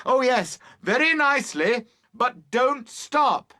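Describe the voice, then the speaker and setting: Man acting an exasperated boater, raised high and loud. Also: expressive, takes it slowly, dry recording, clean studio sound, heavily acted. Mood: strained patience. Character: exasperated male boater shouting instructions to girls.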